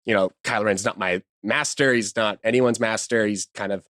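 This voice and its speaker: Lowish, informal man in a dry recording. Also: steady tone, moderate pace, a bit bright, dry recording. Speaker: podcast guest, voice actor. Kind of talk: casual interview chat.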